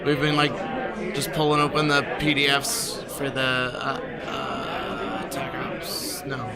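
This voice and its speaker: A man hosting a casual event stream, talking haltingly and searching for words, with questions for his guest. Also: medium pitch, level tone. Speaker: stream host asking questions. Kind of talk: casual unscripted chat. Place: gaming tournament hall. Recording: busy crowd chatter in event hall.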